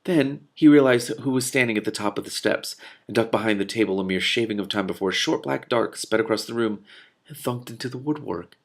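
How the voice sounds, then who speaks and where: A man's voice: fairly low pitch, brisk, gravelly. A man reading a novel aloud expressively as host, breaking off with amused casual comments.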